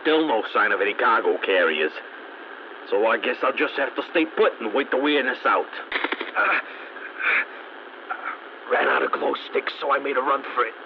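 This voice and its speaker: Weary, resourceful stranded mechanic, wry game audio log; muffled, static-laced recording. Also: male voice, mid-range voice, big pitch swings, moderate pace, long pauses. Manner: wry, dry humor.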